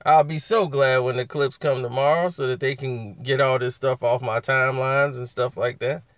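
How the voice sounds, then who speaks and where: Man, husky, casual live chat host, chuckles often, close dry mic. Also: medium pitch, steady tone, normal speed, a bit bright, no room sound. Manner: laughs often, talks back to chat.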